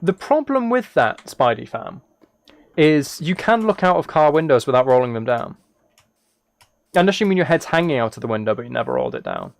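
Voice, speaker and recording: A man's voice: medium pitch, huge pitch swings, fairly quick, gravelly, dry recording. A man casually rambling to viewers on a livestream, musing aloud and often restarting his phrases. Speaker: live streamer. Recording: background noise with keyboard typing and clicks.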